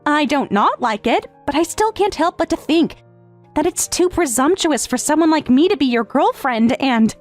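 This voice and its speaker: A woman's voice: high voice, expressive, normal speed. A woman playing a devoted, clingy young step-sister who teases coyly in an English-dubbed manga romantic comedy. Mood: earnest, meek. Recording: music behind.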